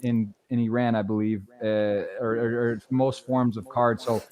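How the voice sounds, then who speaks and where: A man hosts a podcast in a relaxed, chatty way, stringing questions together and restarting his sentences as he goes. His voice sits at an ordinary middle pitch. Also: moderate pace. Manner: friendly, rambling questions with restarts. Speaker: podcast host. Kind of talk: casual interview.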